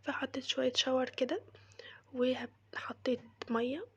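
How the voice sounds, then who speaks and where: Egyptian woman, has a cold, very breathy near-whisper, slightly high and flat, soft chatty tutorial delivery. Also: normal speed, muffled recording. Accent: Egyptian Arabic. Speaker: crochet maker demonstrating cleaning. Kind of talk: casual how-to tutorial.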